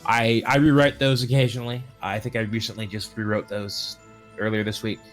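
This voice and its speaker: A man's voice: fairly low pitch, level tone, a little fast, fairly bright tone, soft background music. A man hosting a casual stream as a game master, making announcements in a rambling, joking way that often interrupts itself. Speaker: host and tabletop game master.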